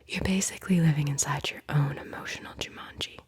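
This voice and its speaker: Woman, soft airy whisper, low, playful ASMR guide. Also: brisk. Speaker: ASMR host.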